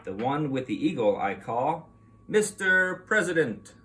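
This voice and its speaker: A man reading a picture book aloud to young children like a teacher, in an expressive, explanatory way. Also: fairly high pitch, a little slow, slight background noise.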